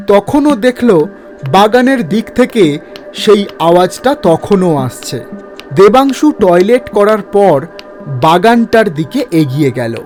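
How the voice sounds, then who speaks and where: A man narrating a horror story in a slow, measured, dramatic way. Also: high-pitched, darkish tone. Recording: narration over background music.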